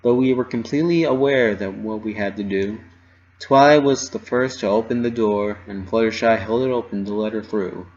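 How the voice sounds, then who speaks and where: Male story reader, lowish pitch, dramatic first-person narration, slightly muffled lo-fi sound. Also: moderate pace. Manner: expressive, dramatic delivery. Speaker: reader of fan fiction.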